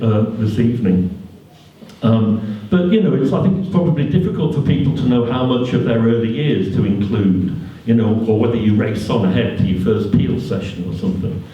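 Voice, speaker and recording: A middle-aged man hosting an event, introducing a guest to the audience in an informal, measured, appreciative way with hesitations. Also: medium pitch. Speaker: event host and interviewer. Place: indoor event hall, on stage. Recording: muffled, very echoey hall, distant microphone.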